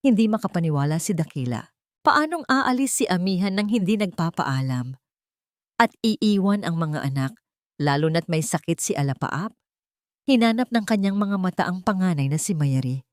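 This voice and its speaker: A woman's voice: fairly low pitch, animated, moderate pace, a little mellow, no echo, clean studio sound. A woman narrating a scripted story in a solemn, melodramatic manner.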